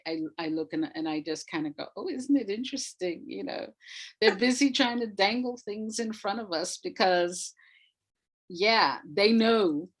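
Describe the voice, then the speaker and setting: A woman speaking as an interview guest, reflective and emphatic, deliberately repeating words to stress her points. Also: on the low side, fairly bright tone. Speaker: interview guest, audio drama writer.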